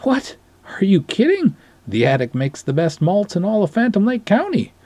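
An incredulous, teasing small-town buddy, played by a man in a dramatic story reading. He needles casually and excitably, pitched up and swooping, with a husky edge. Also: normal speed, dry recording, full character voice.

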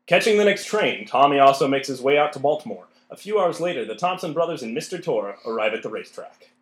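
A man's voice: medium pitch, sing-song, fast, close to an everyday voice. A man narrating a scripted radio play. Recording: roomy, echoing recording.

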